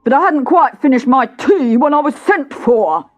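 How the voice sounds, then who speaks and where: A woman's voice: sits high, lively intonation, moderate pace, voice pushed up. A woman voicing a nervous, apologetic man, a poor hatter, hesitant and deferential, for a storybook reading. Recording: dull cassette transfer with music.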